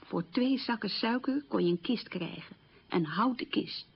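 Casting: middle-aged woman, very raspy, bitter survivor recalling hardship in radio drama, muffled old recording. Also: mid-range voice, unhurried, heavily acted. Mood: grave, bitter. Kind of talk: radio drama monologue, testimonial recollection.

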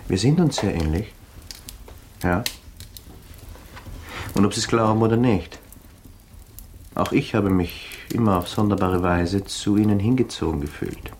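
An actor playing a cultivated young student in a German radio drama, quietly mocking and menacing, speaking formally and with deliberate insinuation. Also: male voice, on the low side. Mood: coolly menacing. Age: young adult. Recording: faintly noisy 1980s radio drama recording.

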